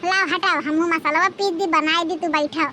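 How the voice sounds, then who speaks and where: A woman's voice: extremely high pitch, steady tone, normal speed, pushed and bright, a little hiss or hum. A woman voicing a nosy, coaxing younger village sister-in-law with an Awadhi accent, chattering repetitively in a comedy cartoon. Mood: chatty, coaxing.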